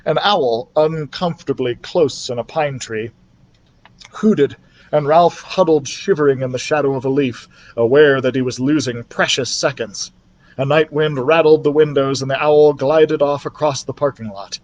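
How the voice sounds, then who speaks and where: A man's voice: medium pitch, normal speed, darkish tone, dull audio. A man narrating a children's storybook expressively, as a library storyteller reading aloud.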